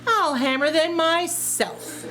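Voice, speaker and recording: A woman voicing a determined, self-reliant little girl from a children's storybook, speaking in a deliberate, emphatic way. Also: extremely high pitch, lively intonation, bright, forceful tone, voice pushed up, heavily acted. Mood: resolute. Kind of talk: children's storybook read-aloud.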